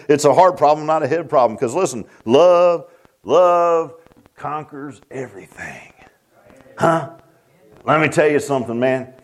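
A man preaching a sermon to his congregation in church, repeating himself and calling out to the crowd. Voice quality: deep voice. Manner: repeats and restarts, calls out to the congregation. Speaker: pastor. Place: church sanctuary.

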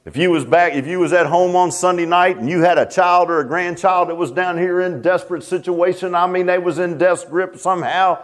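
A man's voice: fairly even intonation, brisk, few pauses. A man preaching a sermon to his congregation in church, repeating himself and calling out to the crowd. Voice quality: deep voice. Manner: repeats and restarts, calls out to the congregation. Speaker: pastor. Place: church sanctuary.

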